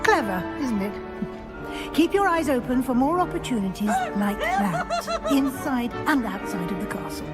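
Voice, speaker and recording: Lively, brisk British witch professor. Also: sits high, loud music behind the voice. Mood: brisk, instructive. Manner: crisp, formal, schoolmistress-like. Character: brisk, exacting female witch professor guiding a new student. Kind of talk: video game character dialogue.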